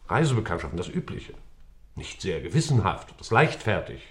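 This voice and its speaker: Sober skeptical judge, old radio play, lowish voice but lots of inflection, dry. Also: male voice, sing-song, normal speed. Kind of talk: classic German radio play acting.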